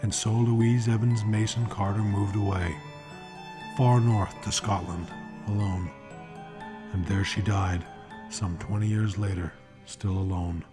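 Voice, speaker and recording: An actor playing a grave, theatrical true-crime storyteller host, narrating old-time radio mystery with solemn, deliberate dramatic pauses. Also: male voice, on the low side, little pitch movement, lightly acted. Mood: solemn, ominous. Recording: music behind the voice.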